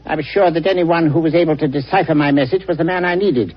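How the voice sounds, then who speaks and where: A man's voice: on the high side, fast, natural voice. An actor playing an imperious, crotchety old man, brusque and impatient, in 1940s radio drama style. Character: crotchety, imperious old rich uncle in a wheelchair. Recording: dull, lo-fi 1940s radio recording.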